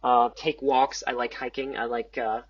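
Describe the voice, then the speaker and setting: A young man chatting casually as a podcast guest, halting and self-deprecating in the way he talks. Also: mid-range voice, flat delivery, slightly rough, no room sound, muffled recording. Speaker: podcast guest, amateur theater group member.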